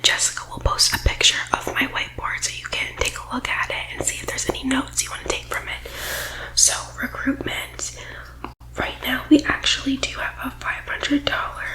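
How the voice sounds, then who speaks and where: Female, high whisper, playing a condescending, pushy MLM recruiter in soft ASMR comedy style. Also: poor audio. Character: pushy, self-important MLM boss woman leading team call. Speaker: ASMR roleplay performer.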